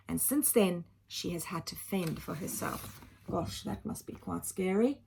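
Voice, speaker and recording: A woman's voice: a little high, slight background noise. A woman reading a children's storybook aloud like a teacher, with dramatic emphasis and simple explanatory asides.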